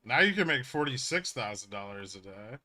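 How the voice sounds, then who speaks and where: A man's voice: medium pitch, big pitch swings, moderate pace, bright, forceful tone. A man hosting a casual livestream, explaining things bluntly and emphatically with some swearing and answering viewers as an educator. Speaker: livestream host, trading educator.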